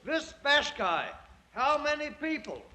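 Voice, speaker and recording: A man's voice: very high voice, sing-song, takes it slowly, pushed and bright, heavily acted, poor audio. A man in a 1950s radio drama playing a bold, ambitious British ex-soldier who makes himself king, commanding and boastful in colloquial speech. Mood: imperious.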